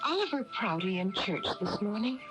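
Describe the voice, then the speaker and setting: Woman acting a troubled churchgoer, medium pitch, hesitant, questioning, radio drama, music in background. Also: very animated, moderate pace, close to an everyday voice, rough recording. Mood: hesitant, puzzled. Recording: radio broadcast with music behind.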